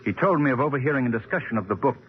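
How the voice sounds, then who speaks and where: An actor playing a calm, wry detective hero in a 1940s radio drama. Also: male voice, mid-range voice, rapid-fire, slight background noise, close to an everyday voice. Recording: muffled 1940s radio recording.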